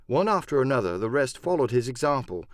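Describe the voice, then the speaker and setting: A man's voice: mid-range voice, very animated, fast talker, dry recording. Man narrating an adventure audiobook, dry sound. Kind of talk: dramatic scripted first-person narration.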